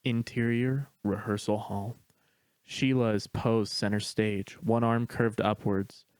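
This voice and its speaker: A man's voice: lowish voice, flat delivery, takes it slowly, a little mellow, smooth, dry recording. A man narrating a screenplay, reading the stage directions in a matter-of-fact way. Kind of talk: scripted narration.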